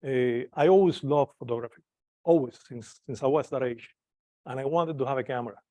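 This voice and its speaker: A man's voice: medium pitch, brisk, dark tone, no echo. A man from Cuba giving an informal talk to a club audience, hesitant, with many fillers and restarts. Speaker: club presenter. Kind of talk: informal presentation to a live audience.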